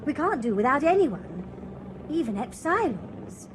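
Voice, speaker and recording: Shallow cheerful young woman for radio drama: bright, animated, noise behind. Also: high-pitched, a little slow. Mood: chatty. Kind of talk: BBC radio drama acting.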